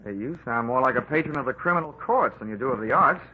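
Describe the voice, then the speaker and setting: A man's voice: medium pitch, lively intonation, fast, natural voice. A man playing a wisecracking American investigator. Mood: dry. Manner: dry, wisecracking. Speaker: narrator and lead. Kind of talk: 1940s radio acting. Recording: noisy lo-fi old radio recording.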